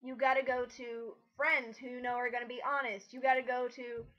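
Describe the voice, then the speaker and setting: A woman with a very high, sharp voice delivers casual critique as a co-commentator. She is blunt and exasperated, often lecturing, on a slightly muffled lo-fi recording. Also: little pitch movement, moderate pace.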